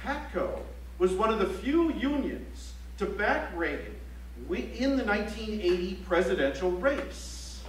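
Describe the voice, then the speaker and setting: An actor playing a serious newspaper reporter, reading news reports theatrically on an auditorium stage. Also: male voice, high voice, lively intonation, a little slow. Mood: grave, emphatic. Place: library auditorium stage, live play. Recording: echoey auditorium from far off, faint hum.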